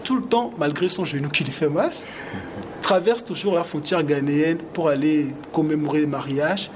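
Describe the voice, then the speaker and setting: A man speaks in a slightly higher register, earnest and animated, stumbling over repeated words as he reaches for his point. Steady background noise sits under the recording. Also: moderate pace. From Togo. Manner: earnest, animated, with frequent repetitions and restarts. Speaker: rapper and activist guest. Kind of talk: conversational interview answers.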